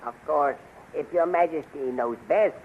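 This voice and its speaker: An actor playing an old, scheming royal magician in a 1930s radio serial, pompous, deferential and insinuating. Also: male voice, a little high, normal speed, narrow, tinny audio, close to an everyday voice. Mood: obsequious, cautioning. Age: older. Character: smooth-talking old male royal magician, obsequious schemer. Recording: noisy 1930s radio recording.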